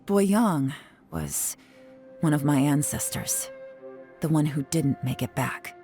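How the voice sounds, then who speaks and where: A woman playing a shrewd, composed adult female adventurer in a video game, speaking deliberately. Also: deep voice, animated, warm tone, faint music behind. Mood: somber. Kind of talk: English game dub cutscene acting.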